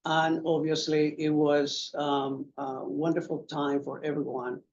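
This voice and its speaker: Older male panelist, slow and halting, dull lo-fi room recording. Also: fairly high pitch, flat delivery, a little mellow, slight background noise. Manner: halting, earnest storytelling. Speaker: panelist, veteran DJ. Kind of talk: reminiscing at a panel. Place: conference room.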